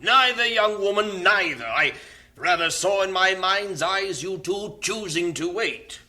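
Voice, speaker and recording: A fussy, stern mission director, voiced by a man, speaks in clipped, old-fashioned phrases. His tone is sharp and bright, and his pitch swings widely as he frets. He comes across as a 1930s-style radio drama character. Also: lively intonation, unhurried, full character voice. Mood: stern, doubtful. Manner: clipped, formal British-sounding phrasing, "don't you know".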